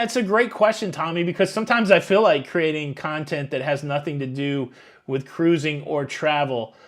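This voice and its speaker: A man around fifty hosting a live stream, reading viewer questions and answering in a rambling, chatty way with many fillers. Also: fairly high pitch. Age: 50s. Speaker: YouTube cruise channel host. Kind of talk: live Q&A chat reading super chats.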